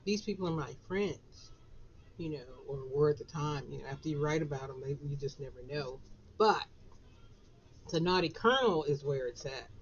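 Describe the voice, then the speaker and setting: A woman in her sixties with a Southern US accent, raspy after a flu, chatting casually to camera from her home office. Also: low-pitched, muffled recording, slight background noise. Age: 60s. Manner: chatty, drawn-out, laughs between phrases. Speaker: author and vlogger.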